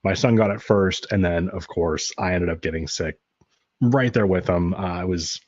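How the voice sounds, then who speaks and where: A man co-hosting a casual podcast, recovering from illness and a little raspy. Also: low voice, normal speed, darkish tone, no room sound, highs cut off. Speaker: podcast co-host.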